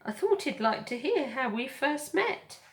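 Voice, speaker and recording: A woman's voice: sits high, very animated, a little slow, pitched above the performer's own voice. A woman voicing a big, friendly female otter in a storybook, with a chatty, breezy, informal manner. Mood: apologetic.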